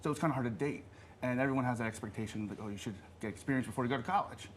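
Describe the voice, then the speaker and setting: A man's voice: medium pitch, very fast, slight background noise. A man in his thirties giving earnest answers as a TV interview guest. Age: 30s. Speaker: reality show guest.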